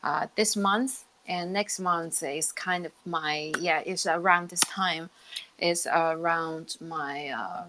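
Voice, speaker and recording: A woman with a slightly low voice talks casually on a live online panel. She is a musician and co-host who rambles a little, working out her thoughts as she speaks. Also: fairly even intonation, slow, pushed and bright, slight background noise. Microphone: live audio chat room on a personal device.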